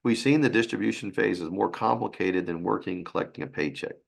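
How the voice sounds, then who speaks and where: A man with a raspy, hoarse voice from a sinus infection, presenting a financial webinar in a halting way. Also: low voice. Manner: halting, with restarts and fillers.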